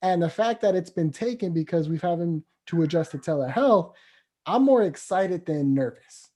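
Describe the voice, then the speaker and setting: A man who works as a professional home visitor, speaking in a steady, encouraging way and asking follow-up questions in a casual podcast chat. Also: fairly high pitch, moderate pace.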